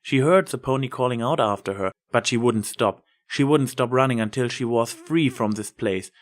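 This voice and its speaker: A man's voice: mid-range voice, moderate pace. A man narrating fiction from a script as a dramatic story reader.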